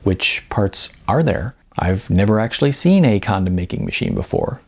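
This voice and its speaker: A man voicing a reluctant young female acting student in an audiobook story, halting and drily unenthused. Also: lowish voice. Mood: reluctant, awkward. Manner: hesitant, halting, drily flat.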